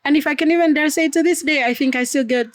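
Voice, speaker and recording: Podcast guest, Amharic-young woman, high pitch, laughs a lot. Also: quick pace, no room sound. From Ethiopia. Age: 20s. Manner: lively, laughs often, many "like" fillers. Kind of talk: casual interview chat.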